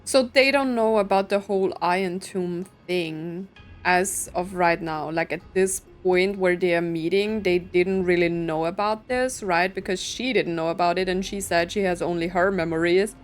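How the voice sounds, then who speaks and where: A woman's voice: on the low side, moderate pace, faint music behind. A woman giving casual let's-play commentary, thinking aloud in a puzzled, speculative way. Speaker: gaming content creator playing and reacting to a story quest.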